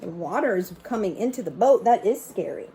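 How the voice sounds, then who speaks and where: A woman's voice: mid-range voice, animated, fairly quick, dark tone, faint music behind. A middle-aged woman reading a picture book aloud and chatting casually to young listeners. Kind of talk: read-aloud storytelling with casual asides.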